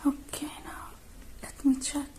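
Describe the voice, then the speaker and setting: A woman speaking softly and whispering in an ASMR roleplay, gentle and hushed, drawing out her phrases with pauses. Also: poor audio.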